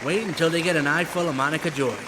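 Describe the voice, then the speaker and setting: A man's voice: fairly high pitch, steady tone, racing, crowd noise, noisy background, natural voice. A man playing a brash showbiz theatre man in an old-time radio drama, talking in emphatic, wisecracking slang. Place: live performance in a church. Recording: echoey church hall.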